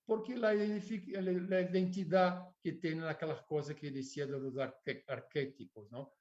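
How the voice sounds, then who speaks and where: Portuguese-accented man lecturing haltingly. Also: a little high, fairly even intonation, darkish tone. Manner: halting, searches for words, frequent tag questions. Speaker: architecture lecturer. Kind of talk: academic lecture. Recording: dull lo-fi recording.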